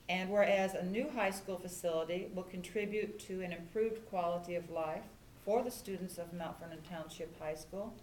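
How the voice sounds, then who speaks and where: An American woman presides formally over a public meeting in an official, civic style. Her medium-pitched voice rings in an echoey chamber, with faint noise underneath. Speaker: mayor chairing a city council meeting. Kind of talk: formal read-aloud resolution at a public meeting. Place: indoor council chamber. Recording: echoey room with background noise.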